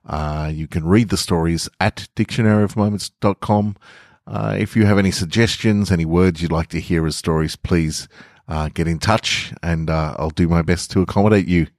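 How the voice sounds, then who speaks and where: Very deep-voiced man, podcast story reader. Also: moderate pace, darkish tone, dry recording, studio quality. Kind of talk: narrated short fiction and casual host outro.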